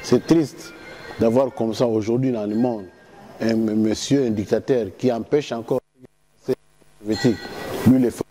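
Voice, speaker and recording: Mellow man giving political address. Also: medium pitch, fairly even intonation, unhurried, pauses often. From Democratic Republic of the Congo. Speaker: political activist.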